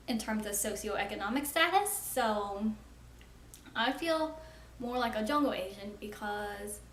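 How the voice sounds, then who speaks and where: A young woman in her twenties talking frankly and casually to camera as a vlogger, filling her sentences with "like.". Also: medium pitch. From United States. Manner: chatty, frank, frequent "like" fillers. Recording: roomy echo with faint ambient noise.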